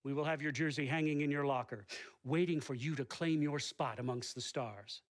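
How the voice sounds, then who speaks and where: An actor playing a college sports recruiter in a game cutscene, giving a lofty, solemn pitch. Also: male voice, normal speed, lightly acted. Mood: grand, persuasive. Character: grand, persuasive male college basketball recruiter. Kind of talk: video game cutscene acting.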